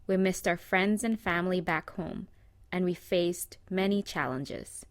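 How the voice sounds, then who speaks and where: A woman narrating a scripted presentation in a measured, read-aloud manner. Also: on the low side, no echo, studio quality.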